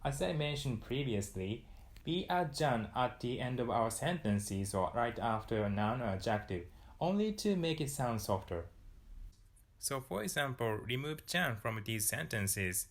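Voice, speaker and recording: Young man, Japanese accent, calm and explanatory, mid-pitched voice, lapel mic, small room. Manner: calm and explanatory, says Japanese example phrases more loudly and quickly. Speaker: language teacher. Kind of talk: scripted lesson to camera.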